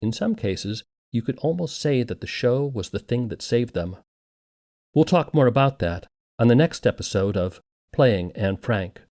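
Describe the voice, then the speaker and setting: Man narrating with lively, varied pitch. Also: measured pace, no room sound, clean studio sound. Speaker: podcast host and narrator. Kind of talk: scripted documentary narration.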